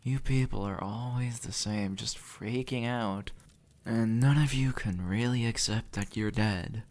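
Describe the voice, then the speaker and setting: A sardonic male demon, acted by a man for an intimate audio roleplay. His voice sits low with a heavy creak, and he talks bluntly and conversationally, like someone long tired of explaining the afterlife to newcomers. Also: unhurried, a little mellow. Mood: exasperated, sarcastic. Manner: sarcastic, exasperated, blunt, conversational. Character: sardonic, impatient male demon, centuries dead, gruffly reassuring. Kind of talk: audio roleplay monologue addressed to the listener.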